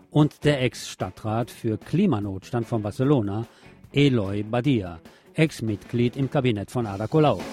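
Mellow male radio news presenter. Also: medium pitch. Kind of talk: scripted local news narration.

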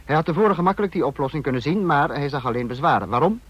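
An actor playing a calculating dictator in a Dutch radio drama, speaking in a measured, authoritative way. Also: male voice, fairly high pitch, expressive, breathy edge. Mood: stern, critical. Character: calculating male dictator, the Protector of a fascist state. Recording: dull vintage radio recording.

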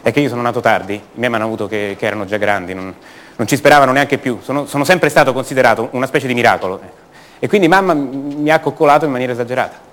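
An actor playing a passionate, rebellious young poet from a rich family in a radio theatre monologue, confiding and halting, repeating his words. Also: mid-range voice, a bit bright, natural voice. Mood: frustrated, confiding.

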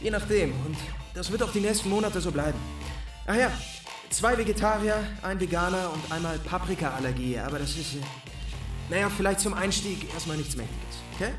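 A man's voice: high-pitched, fairly quick, distant mic, close to an everyday voice. A man playing a breezy, demanding music producer in a radio play, giving instructions in an offhand, rambling way. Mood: breezy, bossy. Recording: echoey, off-mic, music behind.

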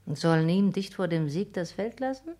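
An actress playing a hard, ambitious, scheming countess in a radio play, with formal, intense stage diction and a breathy, husky voice. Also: female voice, lowish voice, animated, brisk, mellow, natural voice. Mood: driven, resolute. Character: scheming, hard, ambitious countess plotting against her dying father. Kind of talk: 1960s German radio play acting.

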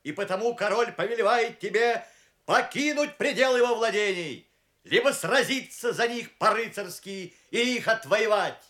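A bold herald knight of legend. He proclaims in a high, declamatory voice, formal and stern, as in an audio drama. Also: moderate pace, pushed and bright. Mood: stern challenge. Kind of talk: Soviet audio drama acting.

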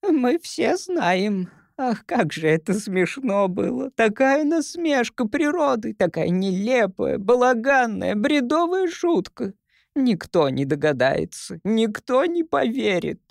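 A man voicing an ancient, tiny, merry female creature who is the first grandmother, in a teasing, giggly, drawn-out manner. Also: extremely high pitch, big pitch swings, vocal fry, no room sound, studio quality, voice pushed up, heavily acted. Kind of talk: audiobook character voice.

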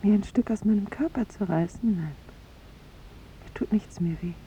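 An actress playing a charming young woman in a 1950s radio drama, speaking softly, earnestly and with delicate restraint, gently resolute in parting. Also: normal speed, close to an everyday voice. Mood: quietly pained. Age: young adult. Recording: muffled vintage radio recording, rumbling hiss.

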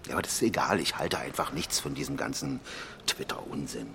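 An actor playing a middle-aged conservative politician in a radio drama, reserved and courteous, speaking in formal, hesitant phrases. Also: male voice, deep voice, very animated, fairly quick, vocal fry, bright, forceful tone, slight background noise, exaggerated voice. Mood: reserved, disapproving. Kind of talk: naturalistic radio drama dialogue.

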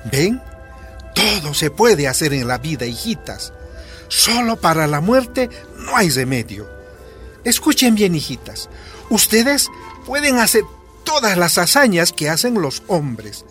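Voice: mid-range voice, very animated, unhurried, a bit bright, faint music behind. An actor playing a loving but firm father in a radio drama, with a Bolivian accent and a tender, emphatic delivery. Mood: proud, tender. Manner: tender, emphatic, uses affectionate diminutives.

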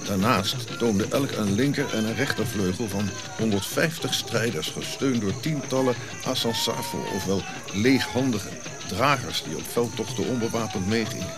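An actor playing a reflective African prince who recounts his royal youth in measured, eloquent radio drama monologue, pausing as he goes. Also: fairly low pitch, loud music behind the voice, natural voice. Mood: stately, proud. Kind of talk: audio drama narrative monologue.

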